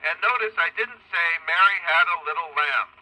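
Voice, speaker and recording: Tinny wax cylinder recording of a high-voiced man. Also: expressive, bright, forceful tone. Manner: deliberate, projected speaking. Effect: played back from a wax cylinder through a horn. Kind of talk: raised-voice test recording announcement. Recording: noisy, tinny antique wax cylinder playback.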